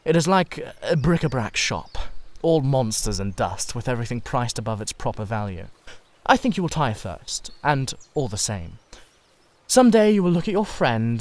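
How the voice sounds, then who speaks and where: A man's voice: medium pitch, darkish tone, close to an everyday voice. A man voicing a witty, cynical, self-satisfied Victorian aristocrat in a dramatised reading of a classic novel, with a theatrical manner.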